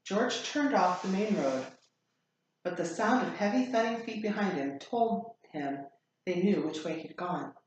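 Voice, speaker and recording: A woman's voice: mid-range voice, expressive, a little slow, dull audio. Very echoey woman reading a story aloud. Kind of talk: expressive story read-aloud. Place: echoey room. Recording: very echoey room, faint noise.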